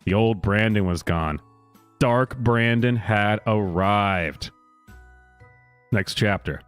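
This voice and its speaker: A man reading a news story aloud as a commentary host, mock-dramatic, with sarcastic asides and theatrical pauses. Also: lowish voice, fairly bright tone, smooth. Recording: music playing behind the voice. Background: music.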